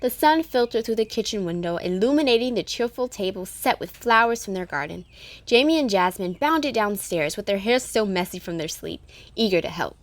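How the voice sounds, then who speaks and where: Woman narrating a children's story, medium pitch. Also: animated, fairly quick.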